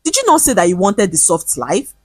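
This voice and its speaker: A woman's voice: mid-range voice, wildly swooping pitch, a little fast, breathy edge, dry recording, studio quality. A woman putting on a lazy, whiny young husband with a lilt. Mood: defensive. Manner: lazy, drawling.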